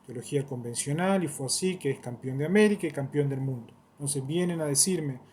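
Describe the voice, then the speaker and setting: A man's voice: fairly high pitch, fairly quick, a little mellow, slight background noise. A man giving a long, rambling monologue in a solemn, declaiming way, repeating phrases for emphasis. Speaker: self-styled theologian.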